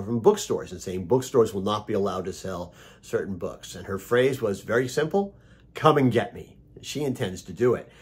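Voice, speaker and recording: A man's voice: mid-range voice, slightly rough. A middle-aged or older man hosting a casual video blog, talking conversationally and stressing words by repeating them. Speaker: host of a daily video blog. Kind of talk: casual, conversational book recommendation monologue.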